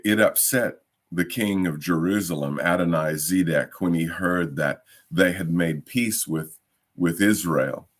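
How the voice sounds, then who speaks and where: A man teaches a midweek Bible study in a deep, unhurried voice. His throat is a little croaky from a lingering cough, and he hesitates often, filling gaps with ums and starting phrases over. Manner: hesitant, many ums and restarts.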